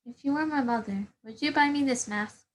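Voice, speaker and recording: A woman's voice: sits high, a little fast, a little hiss or hum, pitched above the performer's own voice, close to an everyday voice. A woman reading aloud as a curious, picky little girl in a children's storybook. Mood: curious, hopeful.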